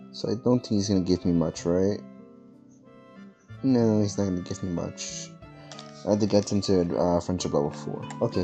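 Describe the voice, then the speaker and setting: A man giving casual playthrough commentary on a video game, hesitant and thinking out loud. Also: deep voice. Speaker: gaming video host.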